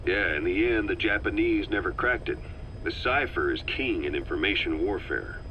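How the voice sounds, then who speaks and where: A man playing a gruff military interviewer in a video game, casual and probing yet sympathetic, on a lo-fi tape recording. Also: sits low, animated, moderate pace, bright, forceful tone. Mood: curious, probing. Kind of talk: game dialogue, questioning debrief. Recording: dull lo-fi tape, faint noise.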